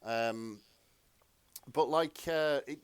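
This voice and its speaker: A man co-hosting a podcast in casual, comedic chat, talking in a halting, rambling, self-deprecating way. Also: medium pitch, smooth. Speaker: podcast co-host.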